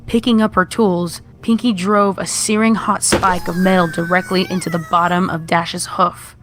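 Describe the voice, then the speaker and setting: Lower-voiced woman narrating a horror story. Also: little pitch movement, normal speed.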